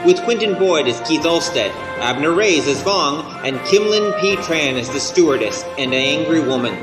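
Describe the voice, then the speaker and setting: A man's voice: on the high side, animated, normal speed. A man announcing scripted credits for an audio drama. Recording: echoey long reverb, loud music.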